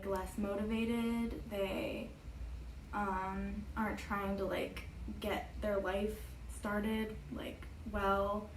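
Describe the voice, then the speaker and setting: A student in her early twenties talks about college in a bright, chatty way, laughing as she goes. Her voice sits at a middle pitch. The sound is roomy and a bit distant, with faint noise behind. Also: flat delivery, very slow. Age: 20s. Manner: upbeat, giggly, lots of "like". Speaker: student interviewee. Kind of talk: casual chat.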